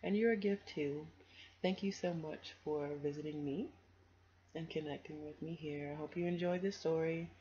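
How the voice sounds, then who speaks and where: A woman's voice: deep voice, fairly even intonation, normal speed, mellow, highs cut off. A woman doing a children's storytime, reading and chatting to listeners in an encouraging, affectionate way.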